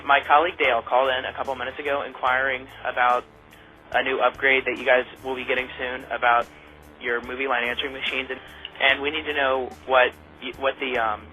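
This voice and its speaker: A man makes a prank call in a very bright, sharp voice of medium pitch. Faint music plays behind him. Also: poor audio. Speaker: prank caller.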